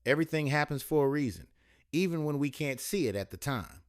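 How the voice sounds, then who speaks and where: A soothing, loving mother, middle-aged and gently wise, with folksy turns of phrase, read by a man. Her words come tender and unhurried. The sound is dry and close, like a home audiobook recording. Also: on the high side, lively intonation, no room sound, voice pushed up, close to an everyday voice.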